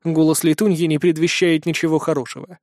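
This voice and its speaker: High-pitched male audiobook narrator. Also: flat delivery, brisk, darkish tone, no room sound, studio quality. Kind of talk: expressive first-person fiction narration.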